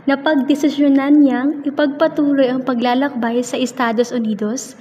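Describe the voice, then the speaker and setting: A woman's voice: high-pitched, level tone, moderate pace. A woman reading scripted narration for an amateur radio drama. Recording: long reverb, very loud music.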